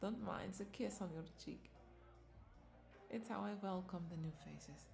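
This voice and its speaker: A woman's voice: medium pitch, measured pace, gravelly, soft background music. A woman playing a flirty, doting vampire bartender in a roleplay audio drama, coaxing and affectionate as she speaks to the listener. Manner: coaxing, affectionate, lingering over words with soft chuckles.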